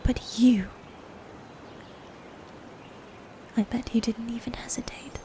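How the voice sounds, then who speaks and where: A woman playing a proud, bitter female knight in a fantasy ASMR roleplay, breathy and often near a whisper, with formal, commanding diction. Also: on the high side, fairly even intonation, moderate pace, warm tone, natural voice. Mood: wounded, bitter.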